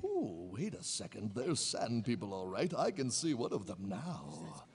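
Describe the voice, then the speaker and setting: A man's voice: on the low side, huge pitch swings, a little slow, darkish tone, breathy edge, exaggerated voice. A middle-aged man voicing a bombastic, dim cartoon hero in a hammy comic table read. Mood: excited. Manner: hammy, swooping. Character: bombastic, dim cartoon superhero playing a young farm boy. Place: convention panel stage with audience. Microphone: table microphone.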